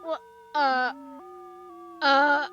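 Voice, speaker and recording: A woman streamer reacting live in casual chat, excitable and jumpy, repeating herself when startled. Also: high voice, extremely slow, slight background noise. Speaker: streamer reacting to horror videos. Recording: dull lo-fi sound, music behind.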